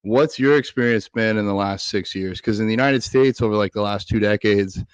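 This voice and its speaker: A man hosting a podcast, asking questions in a soft-spoken, hesitant and earnest way. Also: lowish voice, a little fast, smooth, dry recording, lo-fi sound. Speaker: podcast host. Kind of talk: casual interview questions.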